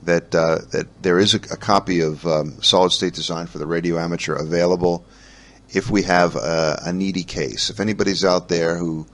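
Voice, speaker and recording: A man with a notably deep voice hosts a casual solo podcast. He rambles along haltingly, often pausing and restarting his sentences as he works through his notes. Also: steady tone. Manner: halting, rambling delivery with frequent pauses and restarts. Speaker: podcast host. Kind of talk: casual solo podcast talk about ham radio.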